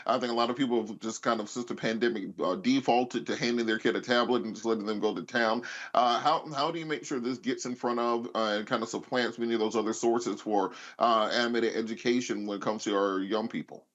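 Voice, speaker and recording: Male American panel commentator, medium-pitched voice, explanatory talk, slightly muffled lo-fi recording. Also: fairly even intonation, a little fast, hardly any pauses, fairly bright tone. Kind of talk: political commentary and questions. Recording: dull, lo-fi audio.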